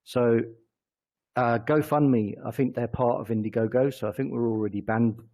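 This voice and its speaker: A man with an English accent answering casually as an interview guest, halting and often correcting himself mid-sentence. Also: mid-range voice, steady tone, warm tone. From England. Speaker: interview guest, company chief executive.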